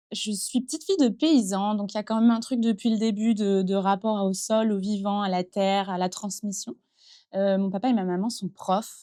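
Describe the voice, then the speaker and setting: A woman's voice: medium pitch, a little mellow, clear, resonant tone. A thirty-year-old woman from western France, speaking in a casual interview in an earnest, reflective way with frequent fillers, recorded in a small improvised studio. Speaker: entrepreneur guest. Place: improvised studio in a concert hall dressing room.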